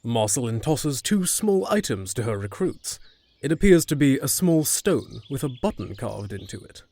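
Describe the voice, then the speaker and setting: A man's voice: mid-range voice, big pitch swings, moderate pace, warm tone, no room sound. A man reading scripted narration for a fantasy audio drama.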